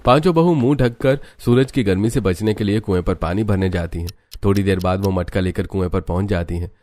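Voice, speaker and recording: A man's voice: low-pitched, fairly quick, a little mellow. A man narrating a scripted cartoon village story as its storyteller.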